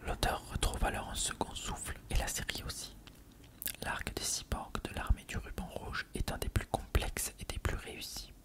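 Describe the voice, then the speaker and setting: Whispered voice, ASMR host, unvoiced, measured reading, explanatory narration.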